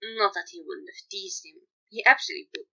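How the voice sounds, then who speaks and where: A woman reading fan fiction aloud in an expressive storytelling style. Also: medium pitch, a little fast, vocal fry, dull audio.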